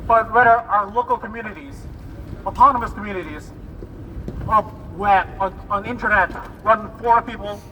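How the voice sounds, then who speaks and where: A man's voice: sits high, steady tone, moderate pace, bright, forceful tone, a little hiss or hum. A man delivering an emphatic, slogan-like speech at an outdoor protest, declaiming his phrases. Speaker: protest speaker. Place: outdoors on a city street. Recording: echoey, distant outdoor recording.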